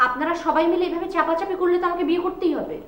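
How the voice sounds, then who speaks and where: A woman's voice: very high-pitched, rapid-fire. An actress playing an outspoken, stubborn young woman in a television drama. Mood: indignant, teasing. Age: young adult. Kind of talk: TV drama acting. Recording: roomy echo, faint music behind.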